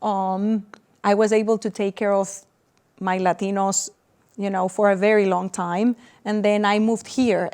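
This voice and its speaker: A woman's voice: mid-range voice, darkish tone, clear, resonant tone. A woman doctor in her forties from Puerto Rico, with a Puerto Rican accent and Spanish as her first language, explaining things earnestly and step by step in a TV interview. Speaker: cancer doctor.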